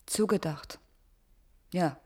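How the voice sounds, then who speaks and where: Commander's young daughter, defiant yet hesitant, breathy and unhurried, radio play. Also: female voice, fairly low pitch, warm tone. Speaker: actress. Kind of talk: German science-fiction radio drama acting.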